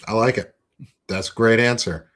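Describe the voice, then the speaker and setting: A man's voice: fairly low pitch, moderate pace, fairly bright tone. A man hosting a podcast interview, encouraging and joking in a laid-back way. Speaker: podcast host. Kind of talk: casual interview conversation.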